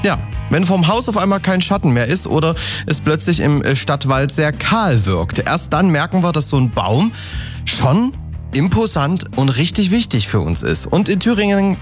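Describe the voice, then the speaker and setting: A man presenting on the radio in an upbeat, chatty way. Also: a little high. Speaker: radio host.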